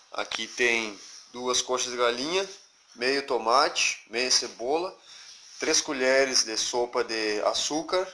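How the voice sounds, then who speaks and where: A man's voice: mid-range voice, sharp tone, slight background noise. A young man from Brazil filming a casual cooking video in a small home kitchen, talking plainly and a bit shyly into a phone camera. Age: young adult. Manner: plain, unpolished, a bit shy. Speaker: home cook vlogger. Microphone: phone camera, arm's length and set on the counter.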